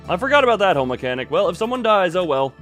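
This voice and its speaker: A man's voice: sits high, sing-song, rapid-fire, fairly bright tone, soft background music. A man giving casual livestream commentary on a game, rambling and breaking off his own sentences with wry asides. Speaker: video game streamer.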